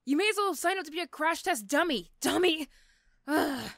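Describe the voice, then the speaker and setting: A woman playing an irritable, sarcastic female supervillain in a roleplay audio drama, snappy and condescending but gruffly caring. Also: high voice, lively intonation, normal speed, a bit bright, no echo, clean studio sound, exaggerated voice. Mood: angry, exasperated.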